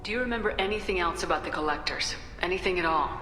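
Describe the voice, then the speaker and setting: A woman's voice: on the low side, fast talker, pushed and bright. A woman playing a confident, caring military commander in a video game, direct in manner. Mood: supportive. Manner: direct, probing questions. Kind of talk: video game dialogue acting. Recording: echoey hall, music behind.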